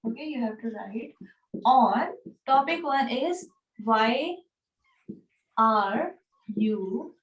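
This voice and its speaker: Slow-paced Indian-accented woman teacher. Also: a little high, expressive, slightly rough, lo-fi sound. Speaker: anatomy teacher at a yoga school. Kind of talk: informal online lesson. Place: small room with a whiteboard, indoors. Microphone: device camera a short distance away, video call.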